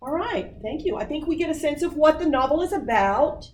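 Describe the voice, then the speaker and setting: A woman leading a writing workshop, coaching people on their book pitches with many fillers. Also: expressive, fairly quick, slight background noise. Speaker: workshop presenter. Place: meeting room. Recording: roomy distant audience recording.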